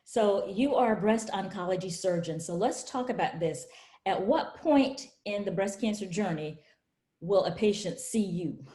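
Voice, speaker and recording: Mellow-voiced woman hosting a video call. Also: medium pitch, expressive, a little slow. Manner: welcoming, polished. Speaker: host. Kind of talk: hosting a webinar interview. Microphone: webcam on a video call. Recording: echoey room over a webcam.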